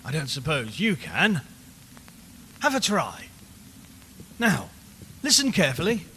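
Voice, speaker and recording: Radio drama reporter, male actor: uneasy, wry, chatty man, high bright voice, dramatised live broadcast. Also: wildly swooping pitch, slow, exaggerated voice. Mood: wry, jittery. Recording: long reverberant hall, background noise.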